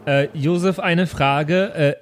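A man's voice: a little high, slow. A man speaking as a player in a tabletop role-playing game, with faint noise behind him. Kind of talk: in-character tabletop role-play.

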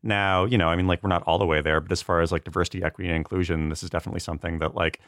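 A man chatting casually on a panel in a halting, informal way, peppered with filler phrases. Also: deep voice, fairly even intonation, fairly bright tone, no room sound, studio quality. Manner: halting, informal, full of "like" and "you know". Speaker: comedy writer.

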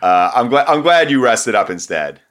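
A man's voice: mid-range voice, big pitch swings, normal speed, a bit bright. A man co-hosting a music podcast in casual chat, wry and laughing often, with lots of fillers. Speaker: podcast co-host.

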